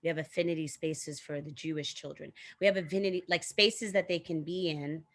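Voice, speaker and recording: Low-voiced woman talking things through casually. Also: fairly even intonation, fairly quick. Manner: thinks aloud, frequent "like" and "you know". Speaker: guest, teacher and diversity consultant. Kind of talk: casual conversational explanation.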